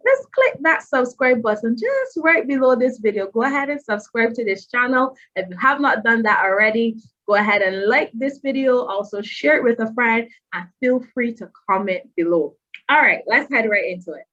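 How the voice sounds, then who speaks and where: A woman hosting an online interview show, welcoming viewers slowly and emphatically and repeating her words. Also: fairly high pitch, expressive, fairly bright tone, lo-fi sound. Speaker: podcast host.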